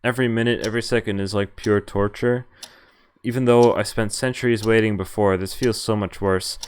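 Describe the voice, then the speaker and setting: A man's voice: fairly low pitch, fairly even intonation, brisk, clean tone. A man, a native Dutch speaker, streaming a visual novel, reading it aloud and commenting casually as he goes, sometimes stumbling over words. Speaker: game streamer.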